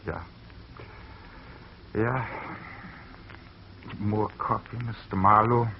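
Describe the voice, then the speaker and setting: An actor playing a weary farmer grieving a lost girl, talking haltingly and stammering, in a 1940s radio drama on an old lo-fi broadcast. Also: on the low side, steady tone, gravelly, background noise, full character voice. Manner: halting, stammering, soft-spoken.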